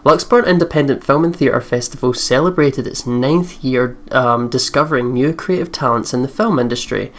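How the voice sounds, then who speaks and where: A woman's voice: very deep voice, moderate pace, few pauses. A young woman reading game text aloud with casual let's play commentary. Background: soft game music.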